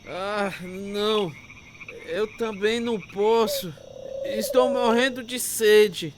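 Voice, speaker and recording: A man's voice: high voice, slow. An actor playing a troubled recovering alcoholic man, narrating his life story in an earnest, confessional way. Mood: distressed, weak. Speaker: narrator and protagonist. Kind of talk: dramatized testimony narration with acted scenes.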